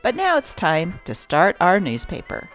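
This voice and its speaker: A woman hosting a show that reads aloud from old newspapers, with an expressive, varied delivery. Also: normal speed, music in the background. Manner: expressive reading with lively pitch. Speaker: channel host reading old newspapers.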